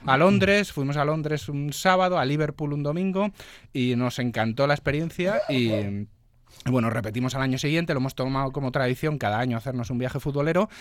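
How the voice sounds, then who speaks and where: A middle-aged man with a Madrid accent chats casually as an interview guest, often pausing and repeating phrases as he searches for words. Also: mid-range voice. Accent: Madrid Spanish. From Madrid, Spain. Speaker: interview guest, travel blogger and former sports journalist.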